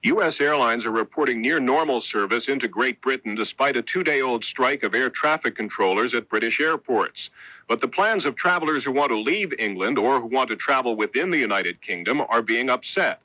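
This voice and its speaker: A man's voice: medium pitch, lively intonation, a little fast, bright, forceful tone, no echo, poor audio. An American man reading a scripted 1970s network radio newscast as a reporter. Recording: old 1970s radio broadcast.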